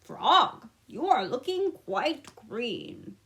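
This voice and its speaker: A woman voicing an earnest, worried male toad from a children's storybook, with a deliberate, fretful manner. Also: high-pitched, big pitch swings, a bit bright, exaggerated voice. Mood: caring, earnest.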